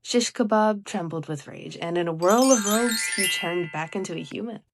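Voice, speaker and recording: A woman's voice: mid-range voice, moderate pace, noisy background. A teenage girl from the United States with an American accent, reading a short story dramatically from a script. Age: teens. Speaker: young writer reading own story.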